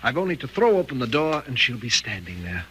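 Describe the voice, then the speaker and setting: An American actor playing an earnest, grieving middle-aged widower reminiscing sorrowfully in a 1940s radio drama. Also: male voice, mid-range voice, very animated, brisk, close to an everyday voice. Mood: grieving, wistful. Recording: noisy vintage 1940s radio recording.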